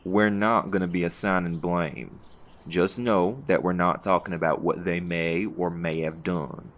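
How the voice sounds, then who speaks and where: An actor playing a calm, authoritative vigilante leader in an audio roleplay, with a folksy, firm way of talking. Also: male voice, deep voice, unhurried. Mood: firm, patient.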